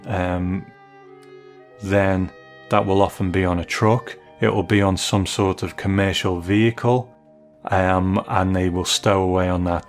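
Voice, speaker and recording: Low-voiced British man hosting a podcast. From Britain. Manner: tentative, musing. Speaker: podcast host. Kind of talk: casual podcast commentary.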